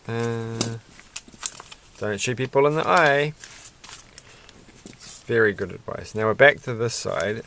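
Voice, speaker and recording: Soft-spoken gravelly man. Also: fairly low pitch, slight background noise. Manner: soft-spoken, muttering to himself, self-deprecating. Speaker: vlogger building a toy kit. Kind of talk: casual rambling build commentary. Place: indoors at a desk at night.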